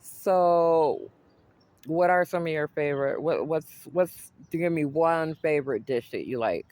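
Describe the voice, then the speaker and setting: A man's voice: a little high, a little slow, darkish tone, no echo. A man interviewing a guest, speaking hesitantly with many fillers. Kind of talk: interview questions.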